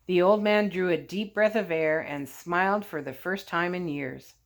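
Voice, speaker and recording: A woman reading a story aloud as an expressive storyteller. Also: on the low side, moderate pace.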